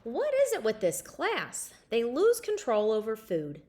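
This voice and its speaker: A woman's voice: a little high, huge pitch swings, a little slow, darkish tone, a little hiss or hum. A woman voicing a confident, sassy but friendly schoolgirl in storybook dialogue. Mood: amused, friendly.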